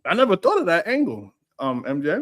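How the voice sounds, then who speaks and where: A man's voice: high voice, wildly swooping pitch, a little fast, dry recording, clean studio sound. A man hosting a live stream in casual chat, telling loose slangy stories and laughing often.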